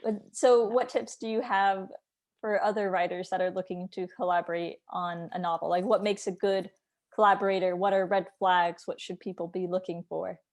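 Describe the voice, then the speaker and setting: A woman's voice: fairly low pitch, fairly even intonation, moderate pace. A woman interviewer talking in a casual, conversational style.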